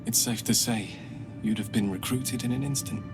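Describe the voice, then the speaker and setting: A man's voice: mid-range voice, moderate pace, natural voice. A man playing a weary, solemn old soldier in a video game, speaking earnestly and gratefully. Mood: solemn, admiring. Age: older. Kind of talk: video game dialogue acting. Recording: music behind the voice.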